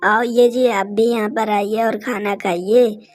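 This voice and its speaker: A woman's voice: mid-range voice, fairly even intonation, a little slow, darkish tone, soft background music, natural voice. A woman voicing a caring, devout village mother in a moral-story cartoon, soothing and concerned.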